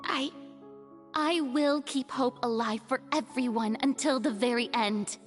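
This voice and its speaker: A woman's voice: sits high, in a large hall, soft background music. A woman playing a proud, theatrical young female god of justice in a video game, hiding a burden. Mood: weary, resolute. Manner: theatrical, haughty, dramatic pauses.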